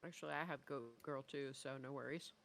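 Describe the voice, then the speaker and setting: A woman's voice: extremely low pitch, steady tone, fairly quick, creaky, noisy background. A woman co-hosting a reaction show, chatting informally and giving her opinions. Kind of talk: casual reaction chat and ranking.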